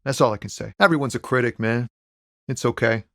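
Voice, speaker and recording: A man's voice: mid-range voice, fairly quick, fairly bright tone, dry recording, lightly acted. Man playing a laid-back trucker poet, close dry sound. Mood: reflective. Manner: laid-back, slangy, musing, with frequent "man". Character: laid-back, philosophical truck driver and aspiring poet-musician. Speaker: video game voice actor. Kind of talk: conversational video game character dialogue.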